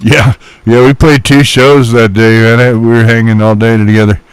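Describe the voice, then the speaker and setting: A man's voice: mid-range voice, flat delivery, normal speed, clear, resonant tone, poor audio. A man in casual radio chat, telling stories animatedly with loose, mildly profane slang. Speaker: musician chatting on CB radio.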